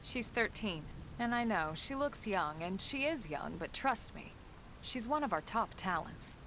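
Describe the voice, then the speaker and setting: A woman playing a calm, friendly squad leader in a video game, measured and matter-of-fact. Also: medium pitch, a little hiss or hum, low-quality recording. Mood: calm, reassuring. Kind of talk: video game cutscene acting. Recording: loud music under the dialogue.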